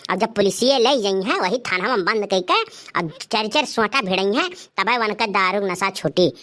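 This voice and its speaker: A woman's voice: mid-range voice, animated, normal speed, fairly bright tone, natural voice. A woman voicing an indignant, scolding village mother in a cartoon comedy, speaking emphatically with an Awadhi accent. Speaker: voice actor of a comedy cartoon channel. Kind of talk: dialect cartoon comedy acting.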